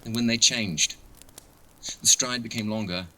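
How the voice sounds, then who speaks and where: Man acting a shaken young man, bright-voiced. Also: on the low side, rough recording. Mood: subdued, fearful. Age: young adult. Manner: halting, stammering, haunted. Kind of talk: audio horror drama acting.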